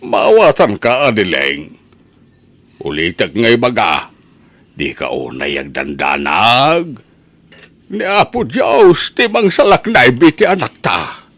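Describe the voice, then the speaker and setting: A man playing a patient, devout old father in a family radio drama, reassuring in a slow, measured way. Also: medium pitch, big pitch swings, fairly bright tone, no room sound, heavily acted.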